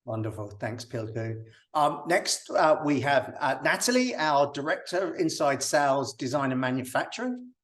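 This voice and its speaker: A man hosting an online panel casually, in a halting way that often repeats words. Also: mid-range voice, animated. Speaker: panel host. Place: online video call.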